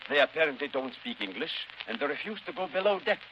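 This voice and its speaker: An actor playing a composed, courteous yacht captain in 1930s radio style. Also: male voice, a little high, big pitch swings, fast. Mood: calm, formal. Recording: dull, hissy 1930s radio recording.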